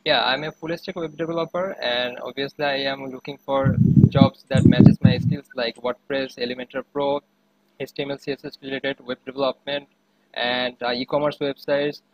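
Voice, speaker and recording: Young male interviewee, Bangladeshi accent, mid-pitched, halting and repetitive delivery on a webcam call. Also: level tone, dull audio, slight background noise. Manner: hesitant, repeats phrases. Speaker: interviewee, web developer. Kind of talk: informal interview answers. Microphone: webcam video call.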